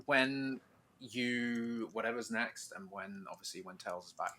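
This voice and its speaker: A man hosting a podcast in casual chat, animated and encouraging toward the people he talks with. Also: mid-range voice, a little slow. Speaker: podcast host.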